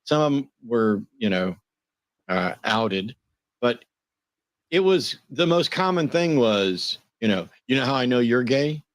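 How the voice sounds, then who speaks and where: A man's voice: medium pitch, expressive, measured pace, no room sound. A man speaking bluntly and plainly as a guest in a casual live call-in chat.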